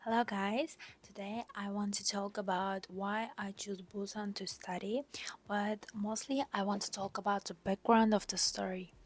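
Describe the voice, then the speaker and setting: Soft-spoken young Turkish woman vlogging. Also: medium pitch, little pitch movement, normal speed. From Turkey. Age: young adult. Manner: soft, often breathy and half-whispered, with many hesitant fillers. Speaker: exchange student. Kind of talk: personal vlog monologue.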